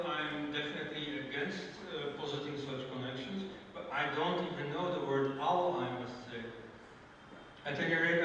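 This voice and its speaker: A man whose first language is Dutch, speaking as a guest scholar answering audience questions, measured and peppered with "you know" and brushing off weak ideas. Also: on the high side, a bit bright, in a large hall, slight background noise, low-quality recording. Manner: measured, frequent "you know", dismissive asides. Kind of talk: lecture Q&A.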